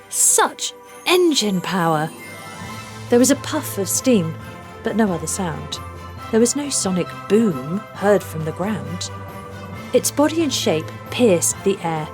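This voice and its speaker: A woman narrating a children's storybook aloud, with deliberate, rhythmic delivery of rhymed phrases. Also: mid-range voice. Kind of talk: scripted storybook read-aloud.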